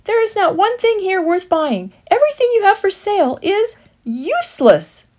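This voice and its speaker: A woman voicing an earnest, indignant young male wood mouse, speaking high and emphatic. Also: big pitch swings, normal speed, darkish tone.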